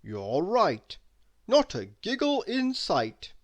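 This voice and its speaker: A woman voicing a brisk male storybook doctor, pondering and matter-of-fact, a little bossy. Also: medium pitch, wildly swooping pitch, no echo, pitched above the performer's own voice, exaggerated voice. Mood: regretful.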